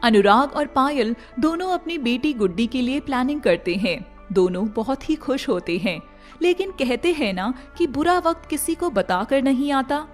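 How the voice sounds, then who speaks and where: A woman's voice: sits high, expressive, normal speed, faint music behind. A woman reading scripted storybook narration as the narrator of a moral tale.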